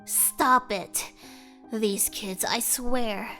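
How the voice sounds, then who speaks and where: A woman's voice: a little high, fairly even intonation, extremely slow, bright, forceful tone, faint music behind, heavily acted. A woman voicing an exasperated, sarcastic mother of two who scolds curtly. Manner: scolding, curt.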